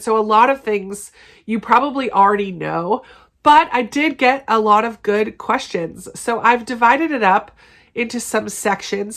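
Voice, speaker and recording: A woman's voice: mid-range voice, a bit bright. A woman hosting her book channel, chatting casually through a viewer Q&A. She sounds stuffed up from a cold and talks in an upbeat way, with small laughs between sentences. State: congested from a cold or allergies. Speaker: BookTube channel host.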